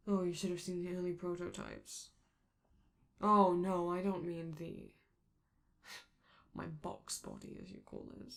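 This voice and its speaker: A performer, a woman, playing a vain, affectionate and theatrical robot star in an ASMR roleplay, with halting, doting asides and a creaky voice. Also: on the low side, wildly swooping pitch, heavily acted. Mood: chatty, amused.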